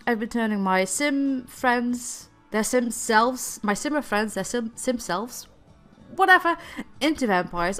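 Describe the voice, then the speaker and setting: A woman's voice: medium pitch, fairly bright tone. A woman chatting casually about her game, slightly nasal and recovering from laryngitis, laughing often and stumbling over repeated words. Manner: hesitant, repeats words and stalls. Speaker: gaming video creator. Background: faint background music.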